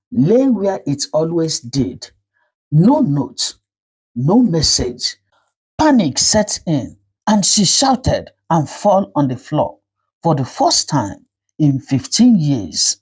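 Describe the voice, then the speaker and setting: Woman, folktale narration, measured and dramatic, unhurried, highly expressive intonation. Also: slightly rough, poor audio. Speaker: storyteller. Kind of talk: scripted storytelling narration of a folktale.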